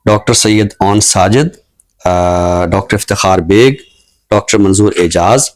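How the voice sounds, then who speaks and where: A man with a Pakistani accent speaking in a formal, scholarly, measured way on a literary talk show, pausing mid-sentence. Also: low voice. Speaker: literary talk show guest and book reviewer. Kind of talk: unscripted book commentary and poetry recitation.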